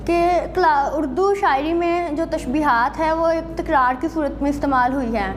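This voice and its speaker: High-pitched female student. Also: soft background music. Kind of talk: formal question to a lecturer. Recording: faint background noise.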